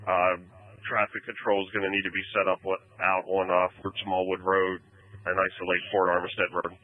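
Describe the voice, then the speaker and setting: Low voiced guy commanding a fire scene, steady procedural radio talk, thin phone-like sound. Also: a little fast, dry recording. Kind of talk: emergency radio traffic. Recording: phone-like emergency radio transmission.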